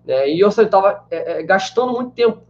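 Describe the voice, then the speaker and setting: A man's voice: high-pitched, lively intonation, fast talker, darkish tone, a little hiss or hum. A man in his twenties, a language teacher from Brazil, chatting casually while he answers live-stream questions, full of fillers. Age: 20s. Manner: chatty, many fillers like "né" and "cara". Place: small room indoors with a banner behind. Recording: slightly dull lo-fi webcam sound.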